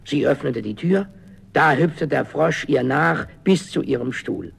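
A man's voice: mid-range voice, animated, measured pace, vocal fry, slightly rough. A man narrating a fairy tale in a dramatic, scripted storytelling style.

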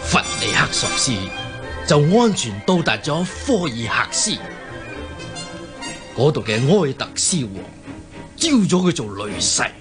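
An actor with a Hong Kong Cantonese accent playing an old usurper king in a radio drama, sighing and halting as he feigns weary remorse. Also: medium pitch, very animated, music in the background. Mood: solemn, persuasive.

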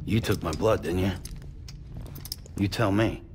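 An actor playing a gruff, reluctant loner porter in a video game, terse and cynical, muttering in a gravelly voice. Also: male voice, low-pitched, unhurried, darkish tone, slight background noise. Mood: guarded, pressing. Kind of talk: cinematic video game acting.

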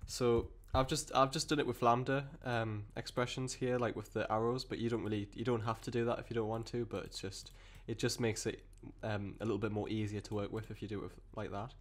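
A man with a cold and a croaky voice, explaining code step by step in a rambling way with many fillers and restarts. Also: on the low side, level tone, a little fast, hardly any pauses. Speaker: programming tutor. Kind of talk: screen-recorded coding tutorial.

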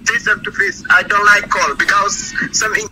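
Excited man on a noisy phone line. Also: high voice, moderate pace. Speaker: friend on a phone call. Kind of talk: phone call on speaker.

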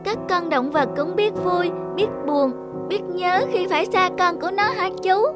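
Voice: very high-pitched, a little slow. An actor voicing an eager, affectionate young boy with a polite, pleading manner in a children's cartoon. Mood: concerned. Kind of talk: dubbed children's cartoon dialogue. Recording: music behind the voice.

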